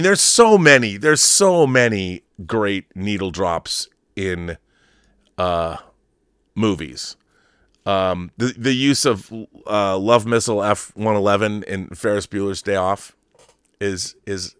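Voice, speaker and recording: A man's voice: on the low side, slow, fairly bright tone, clear, resonant tone, dry recording, studio quality. A middle-aged man hosting a fandom livestream with casual, enthusiastic commentary, rambling and repeating words as he thinks. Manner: enthusiastic, opinionated fan. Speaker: host of a film fandom livestream. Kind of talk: casual commentary, answering viewer messages.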